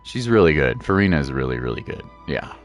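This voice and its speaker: A man's voice: rumbling low, very animated, fast talker, highs cut off, soft background music. A man streaming a game and chatting casually with viewers, slangy and reassuring, repeating his points for emphasis. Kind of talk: casual livestream chat with viewers.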